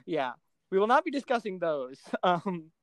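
A man with a clear, smooth voice, recorded dry and close. He talks casually as a podcast co-host, animated and quick to laugh, often backing up to restart a thought. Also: sits high, no echo. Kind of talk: casual pop culture discussion.

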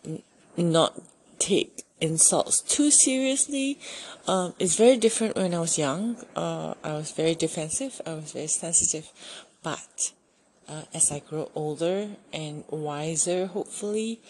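A woman's voice: fairly low pitch, darkish tone, slight background noise, poor audio. A middle-aged woman with a Malaysian English accent, talking casually to camera in a chatty, self-deprecating way. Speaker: YouTuber.

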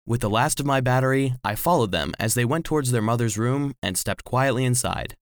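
A man's voice: mid-range voice, brisk, no echo, clean studio sound, close to an everyday voice. A man playing a small, devoted household cleaning robot, narrating a children's audio drama earnestly. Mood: earnest, reflective. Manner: earnest storytelling.

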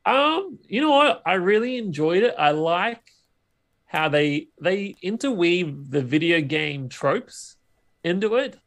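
Higher-pitched man, casual podcast co-host. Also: very animated, slow, fairly bright tone. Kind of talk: casual film chat.

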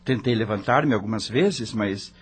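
A man's voice: fairly low pitch, unhurried, breathy edge. An actor playing an anguished dead male rock star, narrating his own story in a theatrical, brooding radio drama style.

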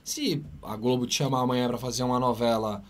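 A man hosting an interview podcast, asking questions in a relaxed, conversational way. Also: medium pitch, brisk. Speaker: podcast host.

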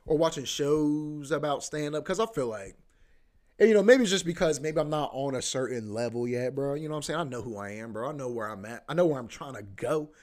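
A young man talks casually on his podcast, loose and profane. He piles on filler words, repeats phrases and restarts his sentences. His voice sits at an ordinary male pitch. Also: expressive, a little fast, a little mellow. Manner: loose and profane, heavy filler like "bro" and "you know what I mean"; repeats himself, often restarts. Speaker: podcast host. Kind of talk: casual rambling monologue.

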